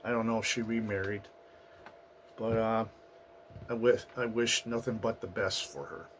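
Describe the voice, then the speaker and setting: A man hosting his own channel, talking through his past year in a halting, personal monologue, his voice raspy and hoarse from a recent flu. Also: on the low side, little pitch movement, a little hiss or hum. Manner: halting, with fillers and restarts. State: getting over a flu, hoarse. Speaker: channel host. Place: at home in the US Northeast.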